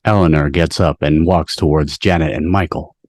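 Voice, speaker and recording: A man's voice: very deep voice, steady tone, moderate pace, a little mellow. A man reading stage directions as scripted narration for an amateur table read.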